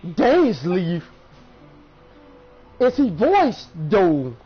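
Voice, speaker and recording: A gamer reacts casually and in slang, with an animated delivery. The sound is slightly muffled, with game music faintly behind. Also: mid-range voice, drawn-out words. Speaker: gamer commenting on a playthrough.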